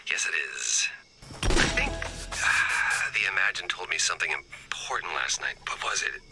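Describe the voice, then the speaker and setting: A man's voice: low voice, very animated, a little slow, bright, forceful tone, faint music behind, full character voice, poor audio. A man voicing a talkative, self-deprecating, goofy scientist in a video game, rambling comically. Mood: befuddled. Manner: rambling, comic, swings from whispered asides to exclamations. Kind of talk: scripted game character dialogue.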